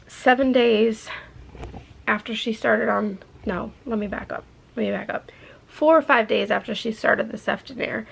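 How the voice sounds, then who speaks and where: A woman's voice: fairly high pitch, vocal fry, breathy edge, rough recording. A young woman vlogging casually to her camera, rambling with lots of fillers and sounding congested from a sinus infection. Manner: rambling, many fillers like "like" and "I don't know". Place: in a car, then at home.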